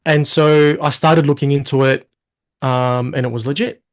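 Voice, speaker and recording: A man in his thirties chats as an interview guest, his voice sitting at an everyday middle pitch. He tells his story with energy, slipping into quick acted-out asides of what he thought at the time. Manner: animated storyteller, quotes himself in lively asides. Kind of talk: casual interview talk.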